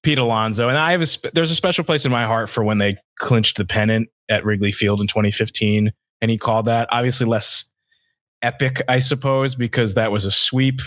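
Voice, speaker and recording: A man's voice: medium pitch, steady tone, a little fast, a bit bright, no room sound, clean studio sound. A man in his thirties co-hosting a sports podcast, talking casually in a reflective, conversational way.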